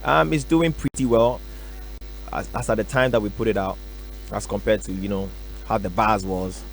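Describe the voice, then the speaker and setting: A young man from Ghana, a musician answering radio interview questions in a relaxed, soft-spoken way with many fillers. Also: on the low side, moderate pace, vocal fry, faint music behind, poor audio. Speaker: musician guest. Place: radio studio.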